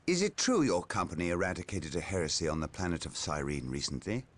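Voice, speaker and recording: A deferential guard colonel. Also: male voice, low-pitched, big pitch swings, fast talker. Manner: deferential, respectful. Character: deferential middle-aged male imperial guard colonel. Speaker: game character. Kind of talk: video game cutscene acting. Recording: game cutscene with music underneath.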